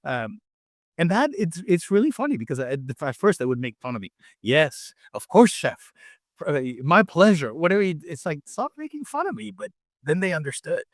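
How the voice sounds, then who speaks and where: A man's voice: fairly high pitch, big pitch swings, moderate pace, no room sound. Mexican-accented man, close dry sound. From Mexico City, Mexico. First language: Spanish. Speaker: restaurant owner and chef, podcast guest. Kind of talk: conversational interview answers with anecdotes.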